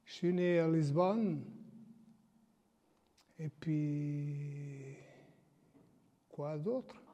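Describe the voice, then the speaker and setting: An older man from Portugal, halting and pausing as he recalls his arrival. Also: a little high, dark tone, dull audio. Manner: halting, with long pauses. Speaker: interviewee. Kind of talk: personal life story. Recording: reverberant room.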